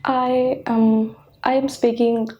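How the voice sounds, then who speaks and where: A young woman with a Pakistani accent, a native Punjabi speaker, answering a mock speaking exam in halting sentences that restart mid-word. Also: fairly high pitch, level tone, highs cut off. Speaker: test candidate.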